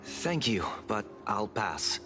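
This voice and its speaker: A man voicing a calm, courteous and solemn gentleman in a video game, measured and polite. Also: on the low side, music in the background. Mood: serious, thoughtful.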